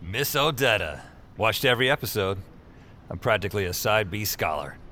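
A low-voiced, animated wisecracking everyman. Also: moderate pace, a little hiss or hum. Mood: wry, playful. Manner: sardonic, self-talking, exclamatory. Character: rattled, wisecracking everyman narrating his own weird adventures. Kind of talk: audio drama acting with first-person narration.

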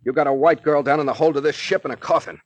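A man performs a tough sea captain from a 1940s radio adventure. He is blunt and commanding, with a slightly high, husky voice in a dry vintage recording. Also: quick pace, no echo, natural voice. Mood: hard, accusing. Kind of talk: 1940s radio drama acting.

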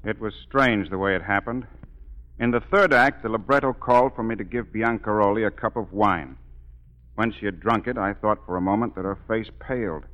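An actor playing an opera singer who gravely recounts eerie events, in measured, dramatic 1940s radio drama narration. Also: male voice, fairly low pitch, fairly bright tone, lightly acted, rough recording. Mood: suspenseful.

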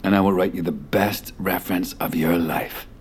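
An actor playing a controlling, smooth wealthy boss in an audio drama, speaking with measured, condescending authority. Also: male voice, low voice, steady tone. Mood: commanding.

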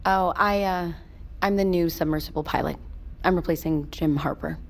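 An actress playing a guarded, earnest submersible pilot who is new to the crew, talking casually in a naturalistic audio drama. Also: female voice, on the low side, moderate pace, gravelly. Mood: tentative.

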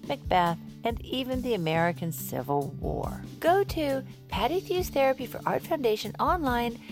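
A woman's voice: medium pitch, creaky, darkish tone. A woman playing a chatty, flippant true crime podcast host, speaking in scripted banter and storytelling over music. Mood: upbeat, sincere.

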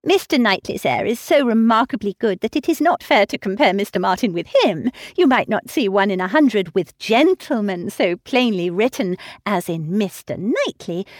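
A woman voicing a self-assured, snobbish young gentlewoman who lectures in a crisp, condescending way, in a storybook reading. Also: medium pitch, no room sound, studio quality. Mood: lecturing.

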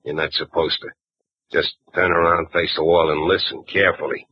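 A husky, sneering male crook. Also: deep voice, flat delivery, moderate pace. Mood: menacing. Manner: curt, threatening, sneering. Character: menacing male crook holding up a detective. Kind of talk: 1940s radio acting. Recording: dull old radio recording.

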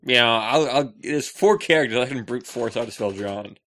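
A man co-hosting a podcast, bantering casually in slangy, goofy and excitable storytelling. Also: medium pitch, moderate pace. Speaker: podcast co-host.

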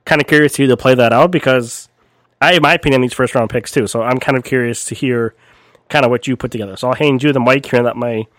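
A man co-hosting a casual sports podcast, a little raspy from a cold, talking in a rambling way with lots of fillers. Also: medium pitch, fast, a bit bright, no room sound, clean studio sound. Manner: rambling, many fillers and restarts. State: recovering from a cold, slightly raspy. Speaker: podcast co-host.

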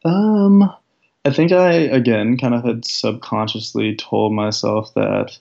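Young man, deep raspy voice, heavy gravel, low pitch, reflective casual talk, muffled lo-fi recording. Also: slow pace, darkish tone. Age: 20s. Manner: reflective, thoughtful, many fillers. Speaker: guest, professional soccer player. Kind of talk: casual interview conversation. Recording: dull lo-fi sound.

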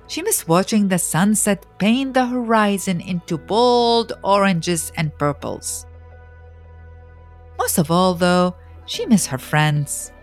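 Slow-paced woman narrating a story. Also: fairly low pitch. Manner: deliberate, expressive storytelling delivery. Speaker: audiobook narrator. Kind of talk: scripted children's biography narration.

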